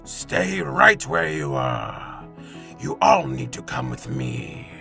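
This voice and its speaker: A man playing a commanding robot warlord in an audio drama, slow and gravelly. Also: wildly swooping pitch, full character voice. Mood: menacing command. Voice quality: very deep, gravelly. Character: commanding tyrant robot warlord, gladiator physique. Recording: music under the voice.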